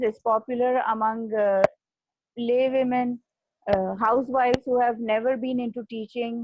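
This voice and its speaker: A woman with a Pakistani accent and Urdu as her first language speaks slowly, her pitch a little high, pausing often with "uh". The audio is muffled and dull, with a faint hiss behind it. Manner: unhurried, fills pauses with frequent "uh". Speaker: guest, language trainer. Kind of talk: casual conversational talk.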